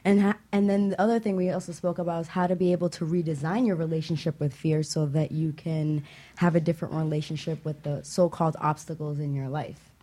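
A woman's voice: low voice, steady tone, a little fast, dark tone. A woman co-hosting a radio talk show in a studio, chatting cheerfully and laughing easily.

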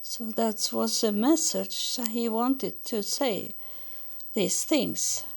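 A woman from Sweden with a Swedish accent, speaking English as a second language in a halting spiritual podcast monologue, searching for words. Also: a little high, gravelly, a little mellow. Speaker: podcast host relaying spiritual messages.

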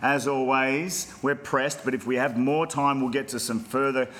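A man's voice: mid-range voice, steady tone, moderate pace, a bit bright. An Australian man hosting a bantering sports podcast in a teasing, mock-formal manner. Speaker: podcast host.

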